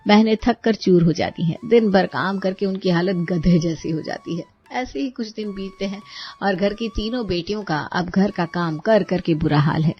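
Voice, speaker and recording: A woman's voice: mid-range voice, moderate pace, slightly rough, lo-fi sound. A woman reading scripted storybook narration as the narrator.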